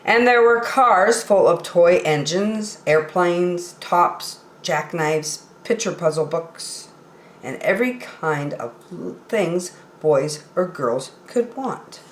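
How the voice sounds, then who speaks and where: A woman's voice: on the low side, a little hiss or hum. A woman reading aloud expressively as a storyteller for young children. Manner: expressive, deliberate enunciation. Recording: roomy echo.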